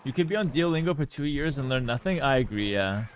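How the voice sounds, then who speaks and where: A man's voice: medium pitch, expressive, quick pace, clean tone. Laid-back streamer guy. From Canada. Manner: laid-back, joking, swears casually, reads chat aloud. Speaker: game streamer. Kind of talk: casual live-stream chat while playing.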